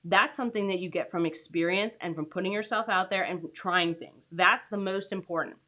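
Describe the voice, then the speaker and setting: A young adult woman hosting a casual livestream, her voice raspy from tiredness, chatty with many "like"s and "um"s. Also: lowish voice, a little fast, pushed and bright. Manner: chatty, lots of "like" and "um", laughs often. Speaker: host.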